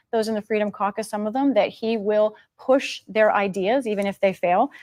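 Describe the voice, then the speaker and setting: A woman's voice: mid-range voice, a little mellow. An American woman giving a live, explanatory news report as a political correspondent.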